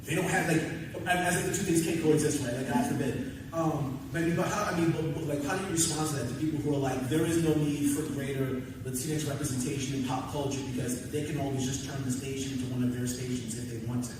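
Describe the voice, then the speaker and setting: A man with a medium-pitched voice moderates a panel in a very echoey room. He sounds distant over clear noise, phrasing his questions in a halting, conversational way. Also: fairly even intonation. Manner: halting, repeats words as he builds questions.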